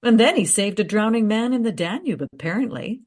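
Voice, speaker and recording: Actress as eager woman researcher, radio play, lively intonation, matter-of-fact storytelling, teasing, confident. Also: mid-range voice, a little fast, close to an everyday voice. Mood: eager, recounting.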